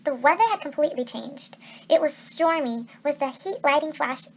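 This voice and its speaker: A woman reads an adventure story aloud in a very high voice. She narrates expressively, like a teacher reading a novel to students. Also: normal speed, a little hiss or hum.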